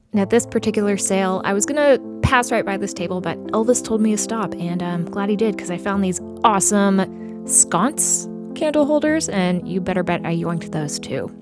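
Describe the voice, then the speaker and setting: Hoarse chatty woman vlogging. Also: medium pitch. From Texas, USA. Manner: chatty and upbeat, with many "like" and "uh" fillers. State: hoarse after losing her voice to a cold. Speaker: vlogger, maker. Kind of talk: casual vlog chat. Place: inside her car, then out at estate sales. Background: music playing underneath.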